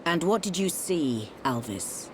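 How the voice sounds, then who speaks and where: Stern, lowish-voiced lady. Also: sing-song, measured pace, slight background noise. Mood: accusing, cold. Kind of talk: video game voice acting.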